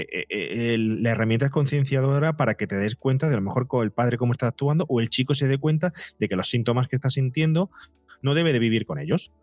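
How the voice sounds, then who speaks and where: A man speaking as a psychologist guest on a podcast, explaining things conversationally in a wordy, halting way with frequent tag questions. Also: medium pitch, no echo.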